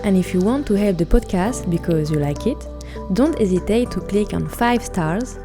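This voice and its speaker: A woman's voice: mid-range voice, expressive, moderate pace, over music. A woman hosting a podcast, who introduces her guest and asks interview questions in a light, friendly manner.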